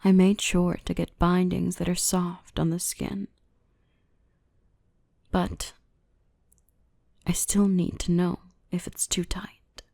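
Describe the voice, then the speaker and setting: Gentle dominant dark elf , played by a woman: low, breathy-soft ASMR murmur with creaky edges, tender and reassuring. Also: flat delivery, no echo, studio quality. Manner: soothing, unhurried, reassuring, often whispering. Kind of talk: ASMR roleplay, softly whispered and spoken.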